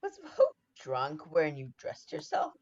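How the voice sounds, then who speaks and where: A woman playing a ruthless, scheming noble lady who drives her husband on, in 1940s radio-play style, with urgent forceful delivery. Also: low voice, huge pitch swings, measured pace, gravelly, heavily acted. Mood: scornful. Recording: dull lo-fi sound.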